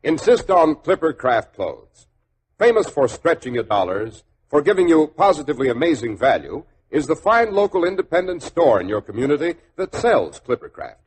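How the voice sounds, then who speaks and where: A man's voice: a little high, sing-song, normal speed. An American man announcing and reading advertisements in a polished, emphatic 1940s radio style. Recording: noisy old 1940s radio recording.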